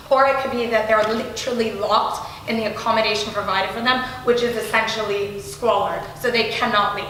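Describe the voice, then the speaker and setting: A woman with a slightly hoarse, bright voice gives a live talk to an audience. She is keen and enthusiastic, often restarting her phrases. The sound is very echoey with a faint hum behind. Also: on the high side. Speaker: guest speaker from a foundation. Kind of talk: live speech at an event. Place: large room, audience present. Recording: very echoey room, faint noise.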